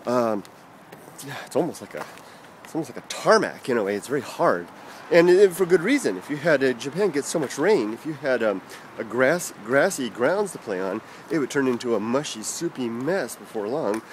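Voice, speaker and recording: A man from the United States rambling through viewer comments in a casual vlog, halting with many fillers and restarts. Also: medium pitch, sing-song, slight background noise. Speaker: vlogger answering viewer comments.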